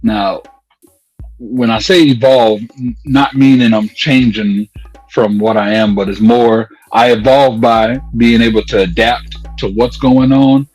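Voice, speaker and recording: A man's voice: medium pitch, fairly even intonation, a little slow, a little mellow, breathy edge, low-quality recording. A man talking casually in an interview, slangy and conversational, telling stories as a radio host. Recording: music playing behind the voice. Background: music.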